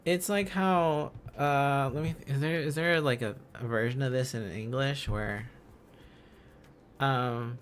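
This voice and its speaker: A man's voice: mid-range voice, steady tone, a little slow, slight background noise. A man streaming a casual lesson, musing aloud and repeating phrases and syllables as he works out letters and words. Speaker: streamer learning Korean script on a live stream.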